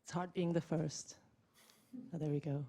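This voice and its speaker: A woman's voice: sits low, little pitch movement, moderate pace, warm tone. A woman in her forties, from Zimbabwe, giving a chatty, self-deprecating talk on a panel with many fillers. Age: 40s. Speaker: panel speaker. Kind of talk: informal presentation.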